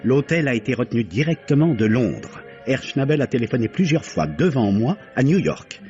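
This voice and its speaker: A man's voice: mid-range voice, big pitch swings, normal speed, a little mellow, natural voice. An actor playing a polite, evasive businessman under questioning in a vintage French radio mystery, flustered and deferential. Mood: bewildered. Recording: dull old radio recording, music underneath.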